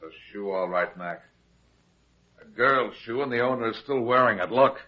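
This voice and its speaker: A man playing a heavyset, shrewd private detective in an old-time radio drama, brisk and commanding as he explains things. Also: medium pitch, lightly acted. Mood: hushed, tense. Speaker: lead actor. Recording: dull, lo-fi old radio recording.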